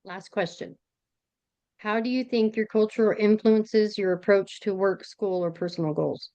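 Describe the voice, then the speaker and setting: A woman's voice: mid-range voice, fairly even intonation, a little slow, warm tone, dry recording, dull audio. A woman interviewer reads out her questions politely and thanks her guest.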